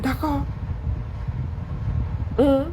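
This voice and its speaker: A woman's voice: high-pitched, little pitch movement, extremely slow, background noise. A woman live-streaming a scornful monologue, firing challenging rhetorical questions at a critic who commented.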